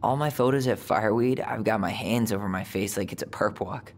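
A man's voice: fairly low pitch, a little fast, slight background noise, natural voice. An actor playing an easygoing, sensitive young American man in a video game, speaking casually and a little wistfully. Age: young adult. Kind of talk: naturalistic video game dialogue.

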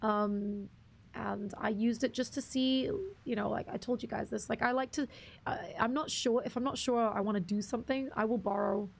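A young woman with a husky voice, chatting casually with viewers on a game stream, rambling and restarting her sentences. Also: a little high, fairly even intonation, moderate pace, a little hiss or hum. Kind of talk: casual live-stream chat reading viewer comments.

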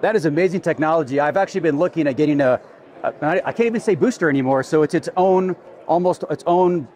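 A man's voice: on the high side, expressive, fast, a little mellow. Upbeat man hosting a casual interview. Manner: enthusiastic, agreeable. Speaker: video host interviewing exhibitors. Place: busy indoor expo hall booths.

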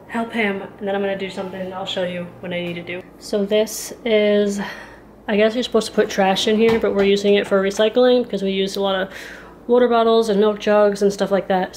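A woman's voice: medium pitch, darkish tone, echoey room, a little hiss or hum. A woman in her thirties chatting casually through a home cleaning and cooking vlog, rambling with plenty of fillers, filmed on a handheld camera in her kitchen. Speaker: home vlogger. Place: kitchen of a house. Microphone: handheld camera at arm's length.

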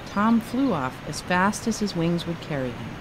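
Woman, children's storybook narration, expressive, lowish voice, faint noise. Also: moderate pace. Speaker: author reading her children's book.

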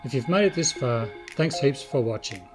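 An older man, around sixty, with a slightly husky voice, giving a measured, scripted explainer as a video host. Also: mid-range voice, lively intonation, music in the background. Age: older, about 60. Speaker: video host, 3D print designer.

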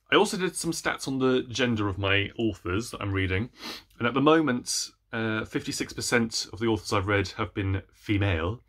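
Low-pitched young man, chatty book vlogger. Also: a bit bright. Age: young adult. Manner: hesitant, chatty, self-deprecating. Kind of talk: casual chat to camera. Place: small room at home, indoors.

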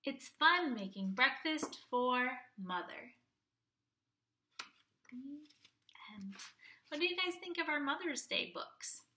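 A young woman reading a children's picture book aloud like a teacher, in an expressive sing-song way. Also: high-pitched, slow pace, pauses often, slight background noise. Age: young adult.

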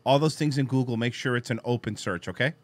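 A man co-hosting a podcast in casual chat, dropping quick joking interjections. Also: medium pitch. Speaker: podcast co-host. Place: home studio with red curtain.

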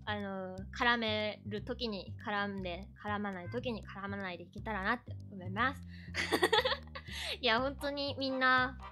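A woman's voice: medium pitch, lively intonation, measured pace, pushed and bright. A woman with a cutesy, giggly manner hosting a casual livestream chat. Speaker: streamer, hosting a call-in guest. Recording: background music under the voice.

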